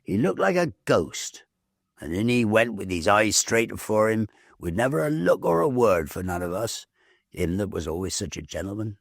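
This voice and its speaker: A man's voice: fairly low pitch, big pitch swings, moderate pace, vocal fry, no room sound, studio quality, voice pushed up, exaggerated voice. A man voicing a shaken, earnest male servant with rustic turns of phrase in a ghost story. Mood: troubled, earnest. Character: shaken male servant telling of a troubled gentleman. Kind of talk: audiobook ghost story character voice.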